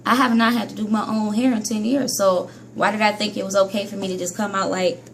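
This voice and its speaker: A woman talks straight to her viewers in a loose, chatty hair-care vlog, often scolding herself. Her voice sits at an everyday middle pitch but keeps jumping high and dropping low. A faint hiss of noise sits under the recording. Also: little pitch movement, a little fast, gravelly. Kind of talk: casual vlog monologue to viewers.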